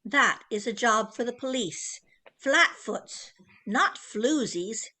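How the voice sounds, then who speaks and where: A woman's voice: fairly high pitch, lively intonation, slow pace, pushed and bright, full character voice. A woman playing a haughty, domineering older mother in a comic radio play, with an imperious, disdainful delivery. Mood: disapproving, haughty. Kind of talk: comic old-time radio play acting.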